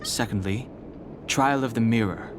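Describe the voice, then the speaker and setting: A man's voice: medium pitch, unhurried, noisy background, close to an everyday voice. An actor playing a reserved young male guard in a video game, serious and matter-of-fact.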